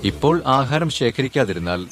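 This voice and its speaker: A man voicing a hardworking, stern little male ant who scolds and lectures in an animated storybook. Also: mid-range voice, normal speed, noisy background, pitched above the performer's own voice, close to an everyday voice. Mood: scolding. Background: background music.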